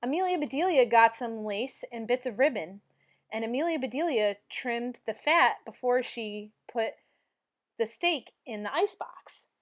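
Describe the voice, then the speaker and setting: A woman reading a children's storybook aloud in an expressive, clearly phrased narration. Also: a little high, unhurried. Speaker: storybook reader.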